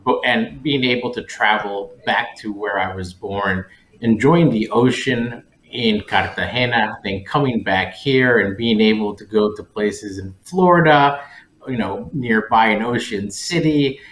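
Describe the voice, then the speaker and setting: A man's voice: fairly low pitch, a little slow, a bit bright, a little hiss or hum. A man speaking conversationally as a panelist. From Colombia-born, raised in West Virginia, United States. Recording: roomy, echoey sound.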